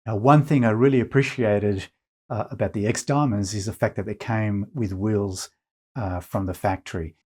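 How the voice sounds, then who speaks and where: A man presenting an informal product review as a reviewer, speaking in a measured way with hesitant pauses between phrases. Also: lowish voice, steady tone, a little mellow. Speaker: audio magazine editor and reviewer, presenter.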